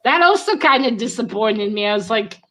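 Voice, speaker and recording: Woman chatting about books, slightly high voice. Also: lively intonation, fairly quick, a bit bright. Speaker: read-along book discussion participant. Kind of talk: casual book chat.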